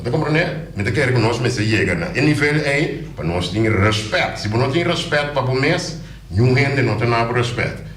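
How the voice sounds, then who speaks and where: A man's voice: mid-range voice, animated, fairly quick, a bit bright, reverberant, slight background noise. A man speaking as a politician guest on a radio show, passionate and emphatic, often repeating his words. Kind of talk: radio interview, casual talk. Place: radio studio.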